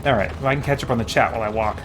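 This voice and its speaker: A man's voice: medium pitch, fairly even intonation, rapid-fire. A man hosting a casual game livestream, rambling and thinking aloud as he chats with viewers. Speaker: game streamer, host. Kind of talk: casual livestream gameplay chat, reading viewer comments. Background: background music.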